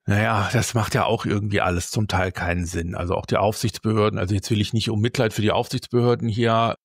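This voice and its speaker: A man's voice: on the low side, steady tone, quick pace, no echo, studio quality. A man co-hosting a conversation, speaking bluntly and colloquially with some rambling. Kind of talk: casual conversation.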